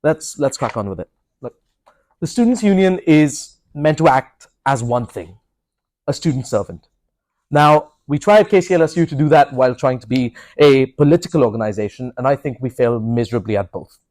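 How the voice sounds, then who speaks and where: A man's voice: medium pitch, lively intonation, normal speed, darkish tone, no echo. Blunt young male student candidate. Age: young adult. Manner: blunt, confident, direct. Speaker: election candidate. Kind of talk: campaign speech.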